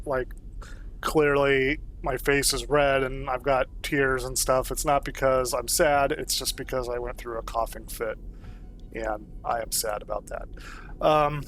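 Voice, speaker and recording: A man getting over a cold talks through a space game on a live stream. He rambles in a relaxed way, laughing now and then and filling gaps with ums. A faint hiss sits behind his desk mic. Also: medium pitch. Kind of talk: casual live-stream commentary.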